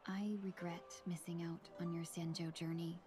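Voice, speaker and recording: A woman voicing a courteous yet resolute fox-woman merchant in a video game, speaking formally and eloquently in measured phrases. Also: on the low side, level tone, lightly acted. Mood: composed, reflective. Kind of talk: scripted video game dialogue. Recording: clear music behind the voice. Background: game music.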